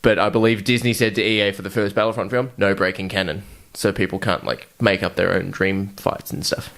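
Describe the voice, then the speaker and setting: A man's voice: fairly low pitch, little pitch movement, brisk, creaky, fairly bright tone. A man co-hosting a podcast in casual chat, with informal, sweary banter. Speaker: podcast host.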